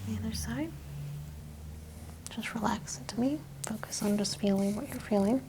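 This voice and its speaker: A woman doing a soft-spoken ASMR medical exam roleplay, giving gentle instructions and often dropping into a whisper. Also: on the high side, moderate pace, noisy background. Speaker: ASMR performer role-playing a medical examiner.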